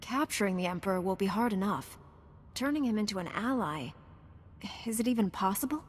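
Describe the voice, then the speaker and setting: A woman's voice: mid-range voice, expressive, a little fast, husky. A woman voicing a young, self-assured female Jedi knight in a video game, earnest and plainspoken. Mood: earnest, questioning. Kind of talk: video game cutscene voice acting. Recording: loud music behind.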